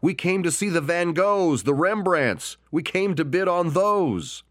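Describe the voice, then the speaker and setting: Demanding male collector, interrupts loudly, as a narrator puts him on. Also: high-pitched, lively intonation, a little slow, no echo, studio quality, pitched above the performer's own voice.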